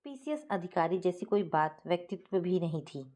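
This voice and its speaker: A woman's voice: fairly low pitch, animated, moderate pace, darkish tone. A woman reading a scripted first-person family story as its narrator.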